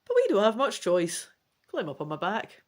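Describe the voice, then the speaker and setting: A woman voicing an excitable, earnest young hero in a dragon's body, in a dramatic gamebook reading. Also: medium pitch, moderate pace. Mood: resolute.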